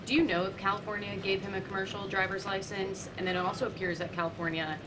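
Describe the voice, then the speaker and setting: A woman's voice: mid-range voice, level tone, fast. A woman reporter putting formal questions in a press briefing room. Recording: clear room noise and ambience.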